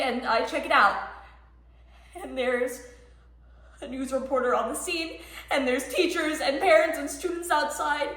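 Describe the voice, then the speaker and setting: Frantic mother played by a woman, stage monologue, high-pitched and emphatic, halting with heavy pauses, in an echoing room. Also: fairly bright tone. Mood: outraged, distraught. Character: frantic mother of a middle schooler, desperate and grieving. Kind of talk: dramatic interpretation monologue. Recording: echoey room, voice ringing off walls.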